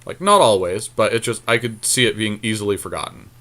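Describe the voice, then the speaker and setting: A man's voice: on the low side, fairly quick, bright, forceful tone. A man casually commentating a card game with a co-host, thinking aloud in slangy, jokey language.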